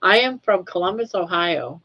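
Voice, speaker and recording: A woman's voice: on the low side, lively intonation, normal speed. An older woman from Maine hosting a casual livestream, rambling and laughing easily as she reads the chat. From Maine, USA. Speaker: livestream host. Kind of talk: casual live chat reading comments. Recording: dull lo-fi stream audio.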